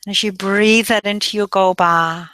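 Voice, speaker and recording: A woman leading a guided meditation in a slow, soothing voice that drifts into soft breathy passages. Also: medium pitch, fairly even intonation, dry recording.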